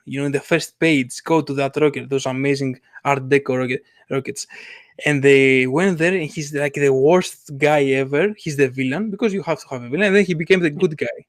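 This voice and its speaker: An eager man with chats about stories in a fairly high voice. He stumbles and restarts often as his ideas race ahead. The recording is dry and close. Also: no room sound. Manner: enthusiastic, halting, many restarts and laughs. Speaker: comic artist. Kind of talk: casual podcast chat.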